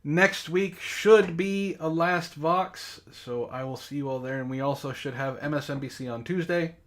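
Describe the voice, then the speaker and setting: A man's voice: on the high side, normal speed. A man hosting a casual comedic book club podcast, bantering in a mock-exasperated way.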